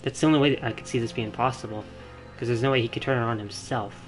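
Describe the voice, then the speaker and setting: A man's voice: mid-range voice, fairly even intonation, quick pace. A man streaming a game, giving casual live commentary, musing aloud and reacting in short murmurs. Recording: game music behind the voice. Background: video game music and dialogue.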